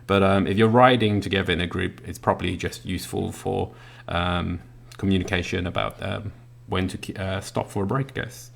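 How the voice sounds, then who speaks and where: Low-pitched, gravelly man demonstrating a gadget. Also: steady tone, normal speed, a little hiss or hum. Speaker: product reviewer. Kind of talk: casual hands-on product demonstration. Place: indoors at a work desk.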